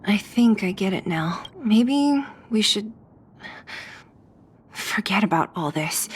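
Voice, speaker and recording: A woman voicing a considerate, gentle companion in a video game, speaking in a hushed, hesitant and soothing manner. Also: fairly low pitch, a little hiss or hum.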